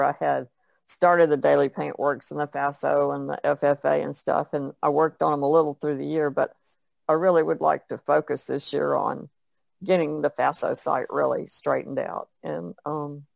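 A woman's voice: low voice, gravelly, no room sound. A woman speaking as an artist on a podcast panel, in casual chat.

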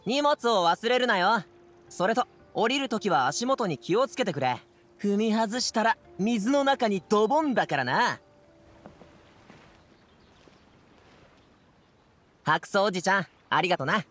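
A friendly young working lad in an anime game. His voice sits fairly high and moves around a lot in pitch, with casual, boyish, chatty phrasing. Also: very animated, normal speed, a bit bright, soft background music, full character voice. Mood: helpful.

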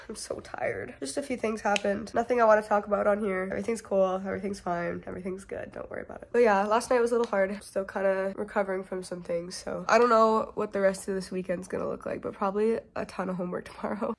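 A woman's voice: medium pitch, creaky. A young woman recording herself on a phone at arm's length, her voice thick and congested from a cold. She talks in a chatty, self-deprecating way, often laughing at herself between remarks. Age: young adult. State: sick, congested voice. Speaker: student vlogger. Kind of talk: casual vlog talk to camera. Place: dorm room and parked car. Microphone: phone camera at arm's length.

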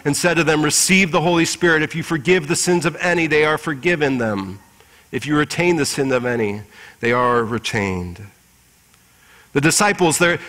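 Male pastor, 40s, slightly high voice, earnest measured sermon delivery, long church reverb. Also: fairly bright tone. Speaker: pastor preaching. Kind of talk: Easter Sunday sermon with scripture reading. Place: large church sanctuary with congregation. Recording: reverberant church hall through PA.

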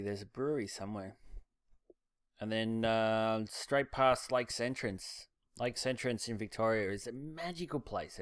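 Laid-back Australian man, smooth low voice. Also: no echo, clean studio sound. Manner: relaxed, rambling, many ums and uhs. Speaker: motorcycle vlogger. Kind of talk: casual vlog narration.

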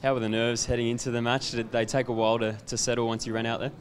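Brisk male sports interviewer. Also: mid-range voice, level tone. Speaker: club interviewer. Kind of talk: post-match interview questions. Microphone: handheld microphone.

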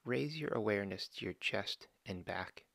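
A man slowly and calmly guiding a body scan meditation, giving gentle step-by-step instructions with long pauses. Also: on the low side, gravelly, no echo. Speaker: meditation guide.

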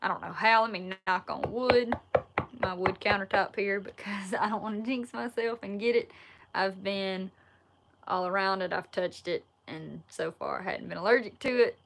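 A woman in her twenties with a Southern Alabama accent, chatting casually on a livestream and answering viewer questions in a relaxed, folksy way from a wood-paneled room. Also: medium pitch, normal speed, slight background noise. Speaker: outdoors YouTuber answering live chat. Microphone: laptop or phone camera at arm's length.

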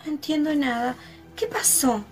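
An actress playing an emotional, humble young country wife in a radio soap opera. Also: female voice, high-pitched, expressive, moderate pace, darkish tone, soft background music, poor audio. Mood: surprised. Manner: simple, deferential, emotional. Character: tearful, humble young country wife, former ranch worker.

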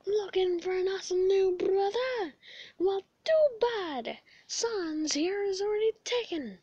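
Comic-dub skeleton brother, female performer: very high, exuberant, innocent, declamatory, dry sound. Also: expressive, dry recording, dull audio. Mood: proud, boisterous. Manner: loud, declamatory, drawn-out emphasis. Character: exuberant, childlike, devoted male skeleton brother.

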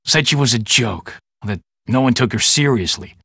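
An actor playing a vain, boastful and defensive police detective in a video game, with an American accent and a self-important, dismissive manner. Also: male voice, mid-range voice, expressive, normal speed, creaky, pushed and bright, slightly rough, no echo, clean studio sound, full character voice. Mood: sullen, defensive. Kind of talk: video game character acting.

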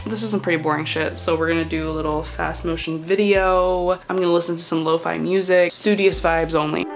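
A woman's voice: fairly low pitch, fairly even intonation, brisk, music in the background. A woman in her early twenties chatting frankly to the camera in a casual vlog from her bedroom, swearing now and then. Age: early 20s. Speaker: vlogger. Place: bedroom at home, indoors. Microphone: camera on a stand, she moves around the room.

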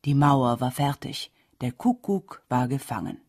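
A woman's voice: rumbling low, expressive, unhurried, a little mellow, breathy edge, dry recording, clean studio sound. A woman narrating a folk tale as a scripted audiobook. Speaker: storyteller.

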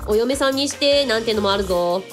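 A woman's voice: on the high side, expressive, quick pace, soft background music, natural voice. A woman playing a playful female show host who coaxes and eggs others on in a comic radio drama. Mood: excited, encouraging. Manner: bubbly, coaxing, exclamatory.

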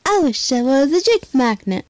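A woman's voice: high voice, very animated, normal speed, darkish tone, dry recording. A woman voicing a dim but earnest schoolboy in an amateur cartoon comic dub, speaking casually. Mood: hopeful.

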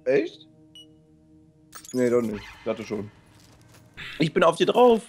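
Lively gamer with swinging, casual delivery. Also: medium pitch, slow, soft background music. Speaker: gaming video co-host. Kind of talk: casual gaming banter.

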